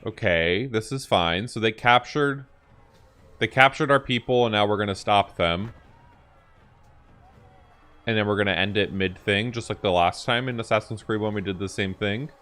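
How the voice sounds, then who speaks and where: A man's voice: on the low side, moderate pace, long pauses, a bit bright. A young man giving casual reaction commentary as host of a video game channel.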